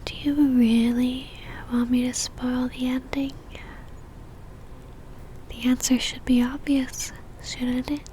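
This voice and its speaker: A woman playing an obsessive, seductive female vampire in a whispered ASMR roleplay, speaking intimately with a teasing, soft menace. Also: sits high, little pitch movement, slow pace, long pauses, slight background noise, rough recording. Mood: wistful, ominous.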